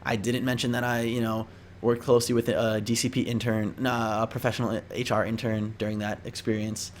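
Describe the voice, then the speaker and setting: Casual young man, clearly noisy recording. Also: mid-range voice, little pitch movement, fairly quick. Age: young adult. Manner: informal, rambling, slangy delivery. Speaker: vlogger giving interview tips. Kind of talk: casual chat to camera.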